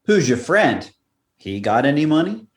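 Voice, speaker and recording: A man's voice: fairly high pitch, very animated, moderate pace. A man playing a sour, money-minded racetrack bookie with a blunt, sardonic manner in a comedic radio play. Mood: sardonic, unimpressed.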